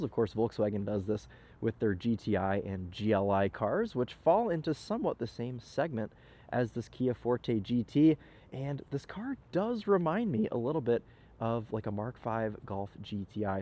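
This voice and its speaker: A man's voice: medium pitch, mellow, slightly rough. Stuffy-nosed young man reviewing a car. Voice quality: slightly nasal. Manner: upbeat, chatty, filler-laden. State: stuffy nose from a cold. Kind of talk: casual walkaround car review. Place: outdoors in a parking lot, then inside a car.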